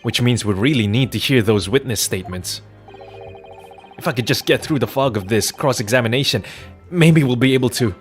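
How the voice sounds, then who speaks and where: A man's voice: medium pitch, fairly quick, soft background music, close to an everyday voice. A man voicing an earnest, polite and hesitant young defense lawyer in a courtroom video game. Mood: thoughtful. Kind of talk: dramatic video game dub.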